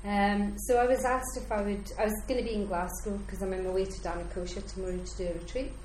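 A woman giving an informal talk as a visiting Buddhist speaker, chatty and self-deprecating, her voice slightly croaky. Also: mid-range voice, little pitch movement, fast, a little mellow. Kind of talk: informal talk to a small gathering. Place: meeting room at a Buddhist centre. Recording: echoey room, faint background noise.

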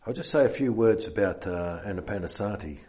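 A man with a New Zealand accent leading a meditation retreat, giving an unhurried dharma talk with many fillers. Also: fairly low pitch, lively intonation, mellow, reverberant.

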